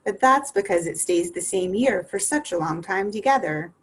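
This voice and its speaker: A woman's voice: mid-range voice, animated, normal speed, breathy edge. A woman voicing a polite but indignant young girl in a storybook reading, prim and earnest.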